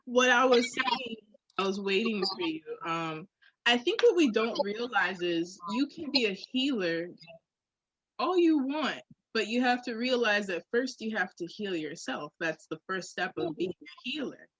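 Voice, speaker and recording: A woman's voice: fairly high pitch, expressive, unhurried, a bit bright, lo-fi sound. A woman co-hosting a podcast in candid, casual chat, telling things conversationally with little acted asides. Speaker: podcast co-host.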